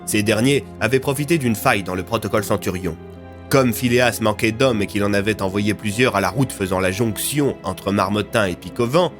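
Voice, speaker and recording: A man narrates a scripted audio fiction. His voice sits on the low side, with faint music running beneath it. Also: fairly bright tone.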